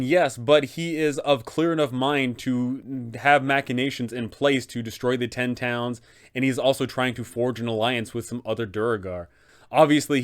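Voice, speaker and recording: A young adult man hosting an explanatory tabletop game video, speaking in an enthusiastic, emphatic way. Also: medium pitch. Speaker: host, game master advisor. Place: small room indoors, seated.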